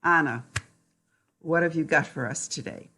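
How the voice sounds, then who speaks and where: A middle-aged woman reading gently and deliberately about mindful eating, in a calm meditative manner. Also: low voice.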